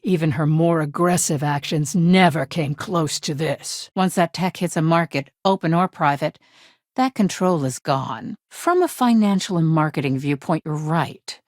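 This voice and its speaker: Blunt female legal executive, lowish pitch, dry studio sound, businesslike, shrewd, no-nonsense, video game dialogue. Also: measured pace, darkish tone, dry recording. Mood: firm, skeptical. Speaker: head of a corporate legal department.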